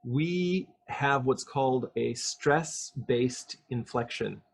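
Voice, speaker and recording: A man's voice: mid-range voice, slow pace, vocal fry, breathy edge. A man coaching on English pronunciation over a video call, explaining patiently and demonstrating sounds. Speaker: English speech coach being interviewed. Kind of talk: casual video call interview.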